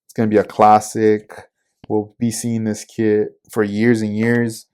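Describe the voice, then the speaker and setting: A man's voice: lowish voice, completely flat delivery, a little slow, darkish tone, smooth. A man in his forties hosting a casual podcast, talking loosely about new hobby shop stock with lots of fillers. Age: 40s. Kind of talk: casual product rundown.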